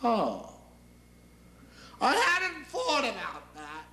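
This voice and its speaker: Man doing a petulant, high-pitched, blustering God. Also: huge pitch swings, very slow, bright, forceful tone, exaggerated voice. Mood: petulant, indignant. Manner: blustering, imperious, whiny. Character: petulant, bellowing, vindictive God, male, lazily all-powerful. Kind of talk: satirical stage monologue acting. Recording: echoey room.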